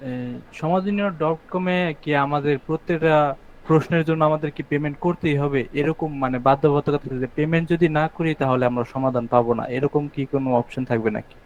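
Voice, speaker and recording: A man asking a spontaneous question as an online attendee, halting and rephrasing, in muffled call audio. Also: fairly high pitch, steady tone.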